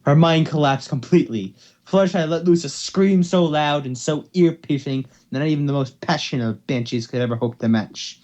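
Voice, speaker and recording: A man's voice: on the high side, a little fast, darkish tone, muffled recording. A man hosting an amateur fan fiction reading, who stumbles over words and repeats phrases as he goes. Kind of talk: amateur dramatic story reading.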